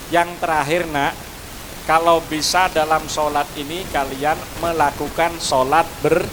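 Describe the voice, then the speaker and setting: A man's voice: fairly high pitch, background noise. Man with a Madurese accent, preaching. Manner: preachy, addresses listeners with Javanese honorifics. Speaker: school speaker. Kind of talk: graduation speech to parents and students. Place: outdoor school courtyard.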